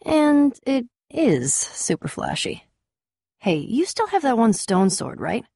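A woman voicing a tough, sarcastic, self-assured young adventurer and trader in a video game, with an American accent and a wry, brisk delivery. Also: a little high, sing-song, gravelly, dark tone, slightly rough, no echo, clean studio sound, full character voice. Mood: wry, casual. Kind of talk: animated adventure game dialogue.

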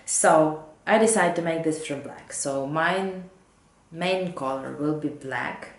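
A woman in her thirties with English as a second language, hosting a knitting podcast at home and sometimes halting to find her words. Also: deep voice, slight background noise. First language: not English, not Danish. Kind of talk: casual chat to camera. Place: living room at home, indoors. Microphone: camera or laptop mic at desk distance. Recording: roomy home echo.

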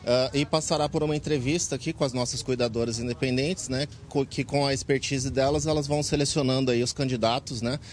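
A man's voice: medium pitch, steady tone, a little fast, few pauses. Brazilian man, 40s, earnest explainer, outdoor interview with light ambient noise. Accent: Brazilian Portuguese. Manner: earnest, explanatory, many fillers. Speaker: interviewee, club president. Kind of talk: live TV interview. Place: outdoors in a park under a tent. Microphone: reporter's handheld mic.

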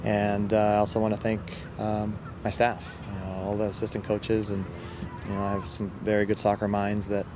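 A middle-aged man speaks as a coach in a post-match interview. His voice is low and flat, and he feels his way through sentences in a measured, halting manner. Clear outdoor noise sits behind him. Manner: halting, measured delivery with repeated words. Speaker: soccer head coach being interviewed. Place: outdoors on a soccer field. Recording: outdoor field recording with loud ambient noise.

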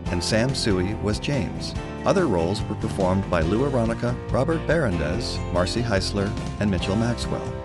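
Male announcer for end credits, scripted read with lively intonation, prominent guitar music underneath. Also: lowish voice.

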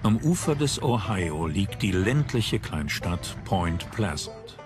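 A man reading scripted documentary narration in a measured, dramatic storytelling manner. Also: sits low, big pitch swings. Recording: music bed behind voice. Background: background music.

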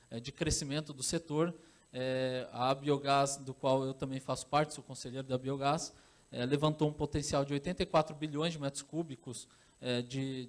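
A man in his thirties from Brazil giving a conference talk with a handheld microphone on stage, often pausing with fillers and restarting his sentences. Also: on the high side. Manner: hesitant, many fillers and restarts. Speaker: conference speaker. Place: large conference hall on stage.

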